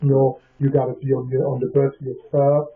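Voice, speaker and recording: A man from Cameroon, telling his own story in a casual interview, halting and often checking in with the listener. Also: medium pitch, flat delivery. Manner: halting, leans on "you know what I mean". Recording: dull lo-fi sound with faint music.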